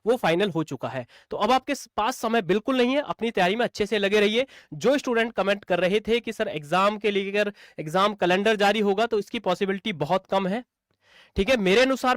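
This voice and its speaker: A man's voice: high-pitched, fairly bright tone, dry recording, studio quality. A man explaining exam updates informally to students, repeating points and posing rhetorical questions. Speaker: exam coaching teacher.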